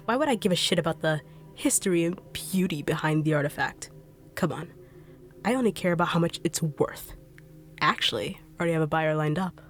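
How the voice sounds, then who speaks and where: A woman voicing a greedy bodyguard turned villain who taunts with cold, quiet menace. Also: on the low side, slight background noise, natural voice. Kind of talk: audio roleplay acting.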